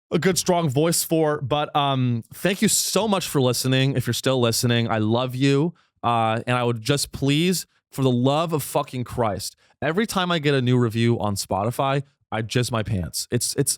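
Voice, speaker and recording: A man's voice: normal speed, clean tone, no room sound, studio quality. Rambling irreverent podcast host losing his voice. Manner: rambling, irreverent, swearing, with sudden high pitch jumps. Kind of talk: solo comedic podcast monologue.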